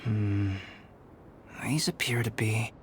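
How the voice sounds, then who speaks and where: A man playing a calm, scholarly young alchemist in a video game, speaking in a measured, composed and precise way. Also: on the low side, noisy background. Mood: thoughtful. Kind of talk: video game character acting. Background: background music.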